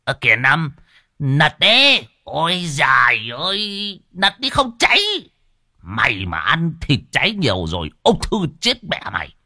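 A man doing a shrill drunken old man. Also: very animated, measured pace, bright, forceful tone, no room sound, studio quality, heavily acted. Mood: shrill, scolding. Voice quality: shrill, scolding. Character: rowdy drunken old man shouting at a friend.

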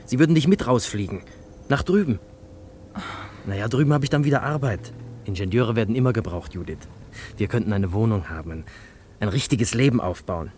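A man acts out an earnest young engineer and refugee in an old radio drama. His voice sits low and he pleads with hesitant urgency. A faint hiss lies over the recording. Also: lively intonation, a little fast, long pauses, a little mellow, close to an everyday voice. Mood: dreamy, persuasive. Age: young adult.

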